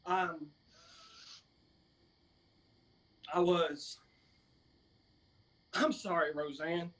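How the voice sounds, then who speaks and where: An actor in a radio drama playing a sheepish, pleading young man with an Appalachian accent, halting and apologetic. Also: on the high side, steady tone, a bit bright. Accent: Appalachian American.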